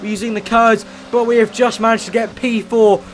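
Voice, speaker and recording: A hoarse man, losing his voice as he gives fast, excitable commentary on his own racing game play. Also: very high-pitched, slight background noise. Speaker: gaming YouTuber.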